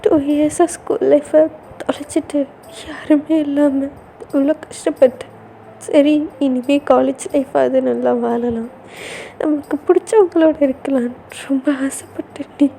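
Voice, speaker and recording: A woman's voice: high voice, steady tone, measured pace, vocal fry, mellow, slight background noise, poor audio. A woman voicing a despairing young woman who pleads tearfully to be taken away from home.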